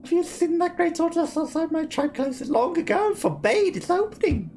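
A man's voice: extremely high pitch, sing-song, moderate pace, a little mellow, slight background noise, voice pushed up. A man voicing an elderly female moth seer, a wistful caretaker of dreams, reading video game dialogue theatrically. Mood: wistful, encouraging. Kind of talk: video game dialogue read aloud in character.

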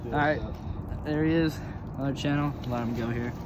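A man's voice: a little high, fairly even intonation, brisk, background noise. A man fishing with friends at night, joking and laughing on the riverbank. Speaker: fishing buddy.

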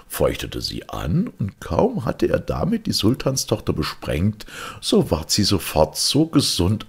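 Deep, husky male storyteller. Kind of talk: expressive fairy-tale narration.

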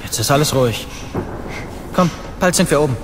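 A man's voice: fairly high pitch, expressive, brisk, background noise, lightly acted. A young actor playing an optimistic, reassuring young father in naturalistic audio drama dialogue. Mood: easygoing, calm. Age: young adult. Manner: reassuring, easygoing. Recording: studio audio drama with loud music underneath.

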